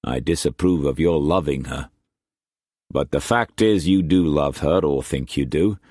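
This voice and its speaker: A man voicing a shrewd, fatherly older Scottish trader who speaks in a measured, gruff way. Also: a bass voice, a little mellow, dry recording, clean studio sound. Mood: stern. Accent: Scottish put-on.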